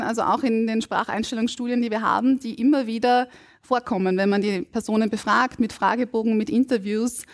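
Woman, Austrian German accent, linguist, live podcast, measured, explanatory. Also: fairly high pitch. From Burgenland, Austria. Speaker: guest linguist. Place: stage before a live audience.

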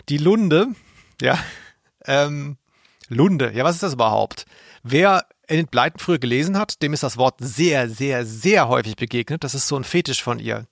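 A man's voice: medium pitch, vocal fry, dry recording, studio quality. A man chatting casually and wryly as a podcast co-host. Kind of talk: casual chat.

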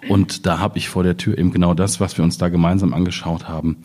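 Man, deep voice, dark and mellow, hesitant phrasing, poet in reflective literary talk. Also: little pitch movement, quick pace. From Ruhr area, Germany.

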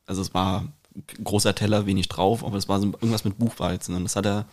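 Man, lower-pitched voice, podcast host, casual chat, rambling, self-deprecating. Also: fairly even intonation, quick pace.

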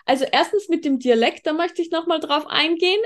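A woman's voice: very high-pitched, brisk. A woman with a Tyrolean Austrian accent, strongly rolled R and throaty K, chatting casually as a podcast co-host. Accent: Tyrolean Austrian German.

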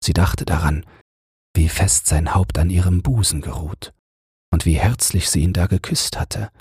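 A man narrating an audiobook fairy tale for bedtime in a soft, breathy voice, soothing and measured. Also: deep voice, dry recording, studio quality. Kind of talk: calm bedtime story narration.

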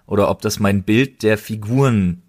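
A man's voice: on the low side, normal speed, a little mellow. A young man co-hosting a casual podcast, speaking in slang and repeating words for emphasis. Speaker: podcast co-host.

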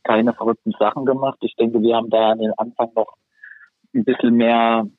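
A male hockey player, a podcast guest, answering in a halting way with many fillers over a remote call. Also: mid-range voice, level tone, dry recording. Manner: halting, lots of fillers and restarts. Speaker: ice hockey defenceman guest. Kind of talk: casual podcast interview. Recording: tinny phone-line sound, dry.

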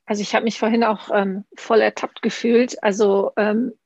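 A woman's voice: medium pitch, level tone, normal speed, darkish tone, dry recording, dull audio. A woman from Münsterland co-hosting a podcast, telling reflective personal stories in a casual chat with many fillers. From Münsterland, Germany. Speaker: podcast co-host. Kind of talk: casual conversational interview.

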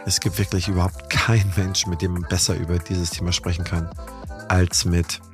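A hoarse man from Holstein hosting a podcast interview, talking with enthusiasm and heavy praise. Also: low voice, level tone, fairly quick, music in the background. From Holstein, Germany. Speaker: podcast host. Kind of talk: podcast interview with scripted intro.

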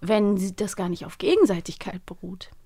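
A woman chatting casually as a podcast host, retelling stories in an amused, animated way. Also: medium pitch, normal speed. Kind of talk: casual chat, retelling audio drama plots.

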